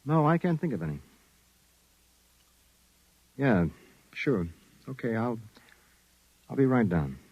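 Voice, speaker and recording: An actor with an American accent playing a brusque, wary private investigator in 1950s radio drama style, giving orders in slangy, commanding speech. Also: male voice, medium pitch, very animated, slow, long pauses, slightly rough, dry recording, highs cut off, exaggerated voice. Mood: businesslike.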